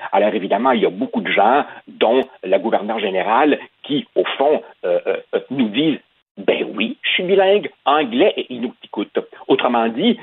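A man's voice: mid-range voice, creaky, breathy edge, dry recording. A man, giving political commentary in an emphatic, repetitive way over the phone. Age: 50s. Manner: emphatic, repeats words, addresses host by name. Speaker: commentator guest. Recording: tinny phone line.

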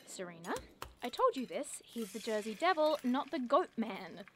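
A woman playing a nerdy, sharp-tongued young monster investigator, eager and cheeky, in naturalistic audio drama. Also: on the high side, sing-song, unhurried, a little hiss or hum. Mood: teasing, exasperated.

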